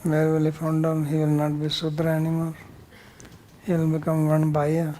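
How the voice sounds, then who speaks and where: Guy teaching scripture, kinda flat mellow voice, blunt, explains sanskrit words, bit of noise behind. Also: on the high side, moderate pace, rough recording. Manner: blunt, corrective; explains Sanskrit terms word by word. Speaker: religious teacher leading a scripture class. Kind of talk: verse-by-verse scripture teaching with questions and answers.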